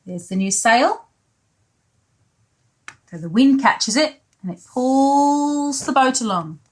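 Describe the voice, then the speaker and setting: Slow, high-pitched woman reading to children. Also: expressive. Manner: simple, explaining, child-directed. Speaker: pre-school storyteller. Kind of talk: children's picture-book read-aloud with asides.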